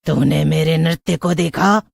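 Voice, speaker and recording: Woman voicing a creaky, vengeful witch ghost. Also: low-pitched, measured pace, darkish tone, no echo, clean studio sound, full character voice. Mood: menacing. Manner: commanding, theatrical. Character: vengeful female witch ghost obsessed with dancing anklets. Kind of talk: dramatic horror cartoon story acting.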